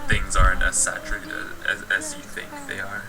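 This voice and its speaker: A man's voice: low-pitched, monotone, moderate pace, gravelly. A man streaming himself painting, talking casually about his work, rambling and restarting his thoughts. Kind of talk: casual running commentary while painting. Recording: distant mic under loud music.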